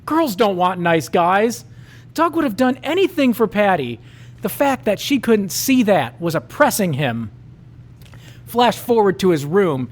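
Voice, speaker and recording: A man's voice: sits high, big pitch swings, unhurried. A man reading a raunchy comic story aloud at a live show, with mock-dramatic narration.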